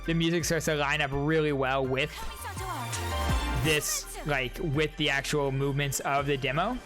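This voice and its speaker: A man's voice: on the high side, fairly even intonation, normal speed, over music. A man giving informal, rambling reaction commentary on game trailers, musing as he goes.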